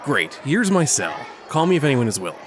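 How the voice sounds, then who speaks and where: A commanding celebrity hero acted by a man in an audio drama. He is decisive and brisk, pressing his plans on people in a mid-range voice. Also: mid-range voice, sing-song, crowd noise, close to an everyday voice. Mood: brisk, persuasive. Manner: decisive, insistent, talks over objections.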